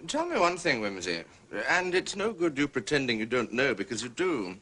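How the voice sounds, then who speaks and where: Casting: blunt, pressing man, forceful tone, animated intonation, radio drama. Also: mid-range voice, moderate pace, a little hiss or hum. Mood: insistent. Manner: direct, pressing. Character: blunt, insistent man demanding answers.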